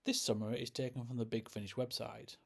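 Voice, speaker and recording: A man presents a scripted review in the role of host. His voice is a little rough and husky at an ordinary male pitch. He explains things in a measured way, point by point. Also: flat delivery, a little mellow. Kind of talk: scripted narration, audio drama review.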